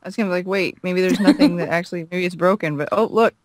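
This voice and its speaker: A woman's voice: on the low side, quick pace, a little mellow. A woman chatting casually and reacting as a co-host on a group let's play. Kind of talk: casual chat.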